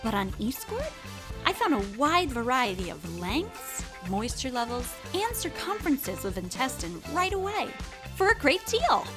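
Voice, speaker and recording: Casting: woman playing a many-limbed creature shopper, very high lively ad read, music under. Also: measured pace. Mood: chirpy. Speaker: ad testimonial voice. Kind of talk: audio drama fake advert.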